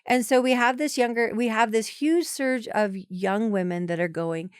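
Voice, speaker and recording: A woman's voice: a little high, moderate pace, clear, resonant tone, dry recording, clean studio sound. A woman in her forties, a podcast guest, talking in a chatty, emphatic way with many restarts. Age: 40s. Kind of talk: casual conversational interview.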